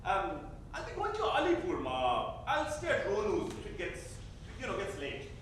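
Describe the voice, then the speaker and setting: An actor playing a restless, evasive young revolutionary son in a staged reading. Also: male voice, high-pitched, wildly swooping pitch, normal speed, a bit bright, distant mic, heavily acted. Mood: evasive, agitated. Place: theater stage. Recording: echoey theater recorded from afar, faint noise.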